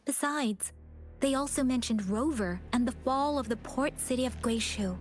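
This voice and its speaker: A woman voicing a cautious, perceptive young companion in a video game, speaking in an earnest, measured manner. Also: sits high, lightly acted. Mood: grave, wary. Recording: music behind the voice.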